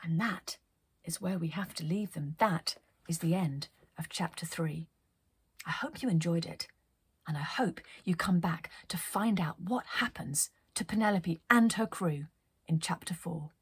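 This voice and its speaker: A woman narrating a children's story as a storyteller, in an expressive read-aloud style. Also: on the low side, unhurried, slightly rough.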